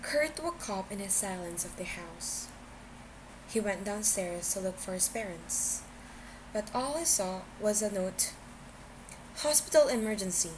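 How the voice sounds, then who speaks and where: A woman's voice: mid-range voice, animated, a little slow. Woman narrating a story over background noise. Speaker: amateur audiobook reader.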